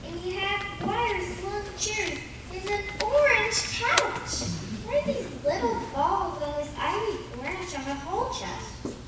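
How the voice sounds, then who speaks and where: A woman's voice: very high-pitched, animated, takes it slowly, full character voice. A woman playing an earnest, chatty second-grade girl in a live radio play, wide-eyed and dramatic. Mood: excited, admiring. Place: theater stage. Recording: roomy live stage recording, distant, noisy.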